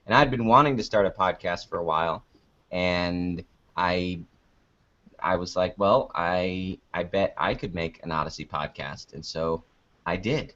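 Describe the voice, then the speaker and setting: A man's voice: sits low, unhurried, fairly bright tone. A young American man chatting casually as an interviewed podcaster, with a joking, playfully melodramatic manner. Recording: dull lo-fi call-like audio.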